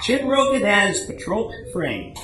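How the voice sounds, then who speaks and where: A man works as a radio theater announcer, reading a script in old-time style. His pitch is high and swings very widely, and the recording is echoey and noisy. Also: big pitch swings, measured pace, over loud music. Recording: echoey stage recording, clear noise.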